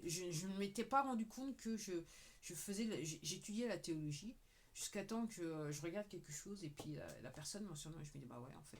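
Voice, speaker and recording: A woman with a fairly low voice speaks straight to her viewers in a loose, rambling monologue. She repeats "ok" often and sometimes sinks to a quiet murmur. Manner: addresses viewers directly. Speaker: video creator. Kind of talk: casual talking-head monologue.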